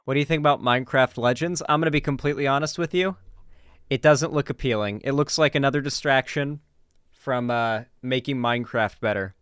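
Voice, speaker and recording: A man's voice: medium pitch, a little fast, fairly bright tone, smooth, dry recording. Casual male streamer, clean dry sound. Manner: informal, swearing, gamer slang. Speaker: streamer reacting to videos and chatting with viewers. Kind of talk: casual live stream commentary.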